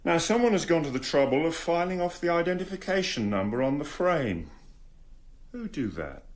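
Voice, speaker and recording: A man acting a dogged, sarcastic English police inspector in a radio drama, questioning with measured, mock-polite pressure. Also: medium pitch, a little mellow, natural voice. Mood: sly pressure.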